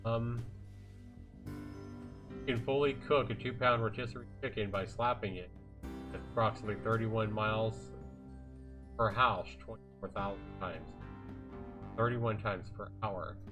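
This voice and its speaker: Male streamer narrating a visual novel, lower register, informal, self-correcting, music clearly underneath. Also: fairly even intonation, normal speed, long pauses. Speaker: livestreamer reading a visual novel aloud. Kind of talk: casual read-aloud narration of a story. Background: game music with guitar.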